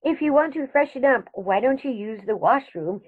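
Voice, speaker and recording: Over a thin, phone-like recording comes a prim old aunt, played by a woman. She is genteel yet firm and a little flustered, with a highish, expressive voice in radio comedy style. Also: normal speed, breathy edge. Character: prim elderly aunt, polite but firm. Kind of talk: stage comedy radio acting. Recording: thin phone-like band-limited sound.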